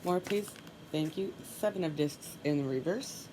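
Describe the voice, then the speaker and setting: A woman with a stuffy nose giving a solo tarot reading, calmly and softly repeating her requests as she draws cards. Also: sits low, measured pace, background noise. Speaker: tarot reader.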